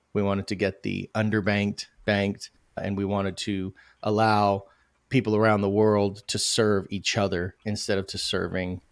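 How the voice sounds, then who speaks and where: Low-voiced guy pitching his crypto project, gets loud and worked up, dry mic. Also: no room sound. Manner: animated, emphatic, self-correcting. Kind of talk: podcast interview.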